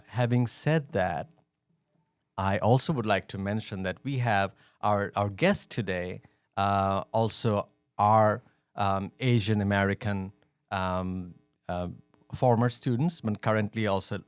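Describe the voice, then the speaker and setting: A man hosting a school podcast who speaks haltingly, with many ums and uhs. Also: on the low side, smooth, dry recording. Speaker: host, school staff.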